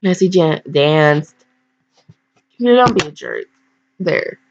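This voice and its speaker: A woman's voice: low voice, expressive, takes it slowly, vocal fry, a little hiss or hum. A woman casually reading fan fiction aloud with slangy, blunt, digressive commentary and mock scolding. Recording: dull, lo-fi home recording.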